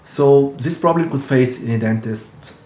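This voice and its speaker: Man in his forties, earnest explainer, mid pitch, echoey room. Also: a little slow, a little mellow. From Egypt. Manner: earnest, explanatory, frequent restarts. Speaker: dentist and practice owner. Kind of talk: casual talk to camera. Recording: echoey room with faint hum.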